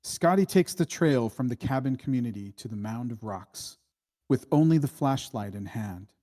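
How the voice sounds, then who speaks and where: A man reading screenplay stage directions as scripted narration in a measured, matter-of-fact way. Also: medium pitch, expressive, dark tone.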